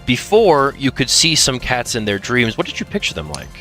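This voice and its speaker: A man's voice: medium pitch, huge pitch swings, moderate pace, pushed and bright, soft background music. A man voicing a teasing, slightly clueless warrior cat who casually reads out questions in an improvised fan Q&A. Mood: curious. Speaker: question reader and sidekick. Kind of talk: improvised character Q&A in casual chat.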